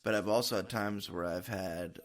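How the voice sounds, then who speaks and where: Guy w a lowish voice doing a podcast interview, rambly and informal, kinda thinking out loud. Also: normal speed. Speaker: podcast host. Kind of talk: casual interview chat.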